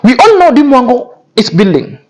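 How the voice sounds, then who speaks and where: A man's voice: very high voice, wildly swooping pitch, normal speed. A man hosting a commentary channel, talking in a cutting, repetitive, lecturing way. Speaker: YouTube commentary host. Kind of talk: scornful commentary on influencer drama.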